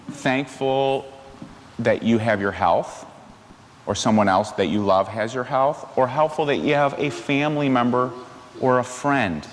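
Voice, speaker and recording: The voice sits in a big, reverberant church space with faint noise behind it. A middle-aged man with a medium-pitched voice speaks as a minister to children. He uses short, simple phrases and pauses between thoughts. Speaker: minister leading a children's story time. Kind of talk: children's sermon, gentle explaining to kids. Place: large church sanctuary, seated on steps indoors. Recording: echoey church hall with background noise.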